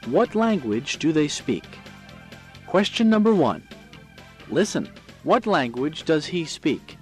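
Slow, expressive American male presenter. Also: on the high side, faint music behind. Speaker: English lesson presenter. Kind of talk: scripted instructional question drill.

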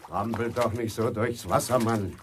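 A man playing a grumpy, secretive farm worker in a radio play, speaking in gruff, impatient, curt phrases. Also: lowish voice, steady tone, moderate pace, a little hiss or hum, close to an everyday voice. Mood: irritated, evasive. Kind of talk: naturalistic radio drama dialogue.

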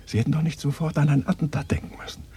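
An actor in a radio drama playing a bitter, probing former resistance fighter with a sardonic, interrogating manner. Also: male voice, a little high, flat delivery, racing, dark tone, breathy edge. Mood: heated, impatient. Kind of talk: 1980s German radio drama dialogue.

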